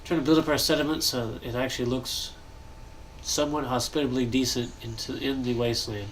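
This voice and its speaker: A young man with a stuffy, nasal voice, chatting casually and thinking aloud while he builds in a game, pausing often to cough or clear his throat. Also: medium pitch, fairly even intonation, a little hiss or hum, rough recording. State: congested, nasal. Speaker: gaming channel host.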